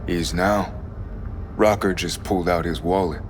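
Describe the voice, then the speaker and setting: An actor playing a cool, imposing mercenary in a cyberpunk video game, dry, assertive and businesslike. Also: male voice, low-pitched, fairly even intonation, unhurried, slight background noise. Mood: shrewd, assertive. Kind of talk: video game drama acting.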